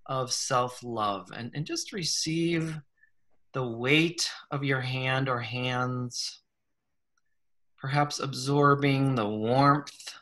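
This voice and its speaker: A man leading a guided meditation in a gentle, unhurried manner, leaving long pauses between short phrases. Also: mid-range voice. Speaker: guided meditation leader, psychologist. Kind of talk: slow guided meditation, soothing instruction.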